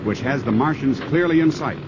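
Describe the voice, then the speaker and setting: An actor playing a radio reporter giving measured, formal reportage on tape in a 1950s radio drama. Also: male voice, medium pitch, muffled recording, heavy background noise, close to an everyday voice. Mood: grave. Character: male radio reporter taping a bomb test.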